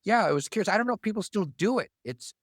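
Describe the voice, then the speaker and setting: A man in his fifties, a guest on a podcast, speaking in a measured, slightly halting way in casual interview talk. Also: high voice, lively intonation, dry recording, clean studio sound.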